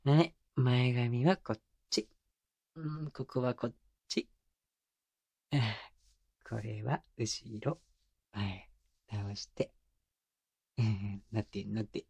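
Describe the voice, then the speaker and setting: A man's voice: fairly even intonation, slow pace, creaky, long pauses, darkish tone, slightly rough, dry recording, heavily acted. A man playing a teasing, doting young boyfriend in an intimate ASMR roleplay, talking casually and playfully with bits of baby talk, close to a binaural mic. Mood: doting, affectionate. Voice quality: mid-low voice. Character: teasing, doting young boyfriend babying his exhausted, clingy girlfriend. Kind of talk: intimate ASMR roleplay drama, addressed to the listener. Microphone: close binaural microphone.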